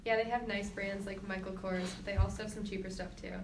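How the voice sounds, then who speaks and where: A 22-year-old woman gives quick, matter-of-fact answers in a focus group. She is heard from a distance in an echoey room with background noise. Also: mid-range voice, level tone.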